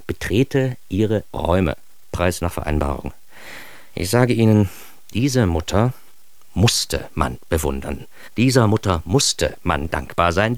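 Deep-voiced ironic male storyteller. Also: dry recording. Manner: wry, mock-grandiose, emphatic storytelling. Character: Klaus, ironic self-important man narrating his childhood. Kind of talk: scripted first-person radio drama narration.

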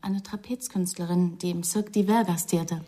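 A woman's voice: lowish voice, fairly even intonation, moderate pace, mellow, close to an everyday voice. An actress playing the young adult daughter of a domineering Parisian mother in a radio crime drama. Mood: explanatory.

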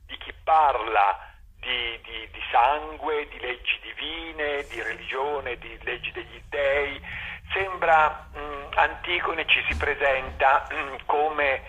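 An older man giving a reflective interview as a theatre director, halting often to search for words, heard as if over a telephone line. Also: medium pitch, sharp tone.

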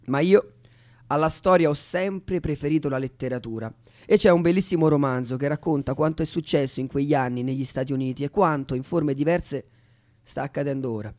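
A man giving scripted theatrical narration as a stage storyteller, with deliberate phrasing. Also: fairly high pitch, a little mellow.

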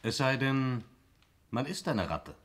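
A man's voice: medium pitch, lively intonation, slow pace, fairly bright tone. A man playing an easygoing, dryly witty police sergeant in a 1960s German radio drama. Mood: dry, genial.

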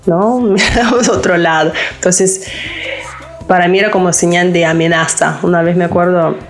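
A woman from Lithuania with a Lithuanian accent, a psychologist speaking in an interview, halting and searching for words with frequent restarts. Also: on the low side, steady tone, soft background music. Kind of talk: casual interview talk.

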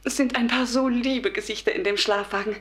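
An actress playing a meek, devout, gravely ill wife in a radio drama, solemn and fervent, halting and at times dropping towards a whisper. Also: female voice, fairly high pitch, steady tone, a bit bright, lightly acted. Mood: awed, fervent.

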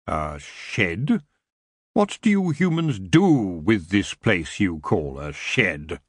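A man voicing an ancient antlered elf king, smooth and unhurried, lazily polite with a menacing edge. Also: low-pitched, very animated, no room sound, clean studio sound, voice pushed down, heavily acted. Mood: languid. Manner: lazy, drawn-out, coolly polite. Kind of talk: audiobook character voice.

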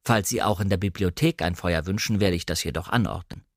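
A man voicing a reserved butler of a grand house in an audiobook, speaking in a measured, deferential, formal manner. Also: low voice, no echo, clean studio sound, voice pushed down. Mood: politely reserved. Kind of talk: audiobook character reading.

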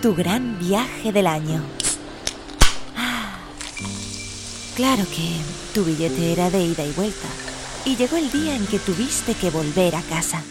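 A woman's voice: fairly low pitch, animated, measured pace, pauses often, over music. A woman with an accent from Spain narrating radio fiction, wryly addressing the listener directly. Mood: wry, reflective.

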